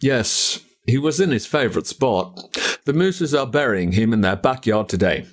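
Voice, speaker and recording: Guy reading as an aussie dad in a storybook, serious and plain, mid pitch. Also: expressive, moderate pace, natural voice. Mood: sombre. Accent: Australian. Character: sombre Australian dad breaking bad news to son.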